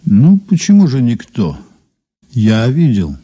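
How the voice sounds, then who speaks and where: Husky, wry male investigator. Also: mid-range voice, wildly swooping pitch, warm tone, full character voice. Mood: reflective. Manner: measured, dryly ironic. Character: composed, wry male investigator recounting an old case. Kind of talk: radio drama dialogue and narration.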